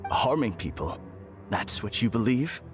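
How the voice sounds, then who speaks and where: A man's voice: mid-range voice, animated, a little slow, over loud music, lightly acted. An actor playing a politely grandiose, deluded man in a video game, formal and theatrical in manner. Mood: smug calm. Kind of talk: dramatic video game voice acting.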